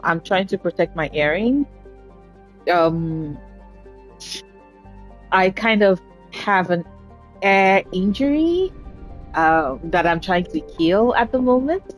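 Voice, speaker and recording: A woman casually commentating her own game playthrough, chatty and self-deprecating, speaking low and soft because talking hurts right now. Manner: chatty, self-deprecating, swears at setbacks in play. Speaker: gaming channel host. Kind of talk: casual let's-play commentary.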